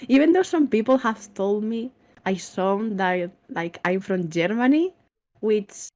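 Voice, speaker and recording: A woman from Spain speaks English with a Spanish accent as a guest on a casual online show. She is friendly and quick to laugh, and she sometimes pauses to find the right word. Her pitch is neither high nor low. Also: lively intonation, a little mellow. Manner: friendly and laughs easily, at times searching for words. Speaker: guest, VTuber artist. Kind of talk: casual interview chat.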